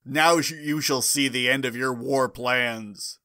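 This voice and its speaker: A man's voice: medium pitch, fairly even intonation, unhurried, pushed and bright, dry recording, studio quality. A man playing a stern, grandiose superhero in a comic book dramatic reading, declaiming pompously. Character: grandiose, stern male superhero wizard passing judgement.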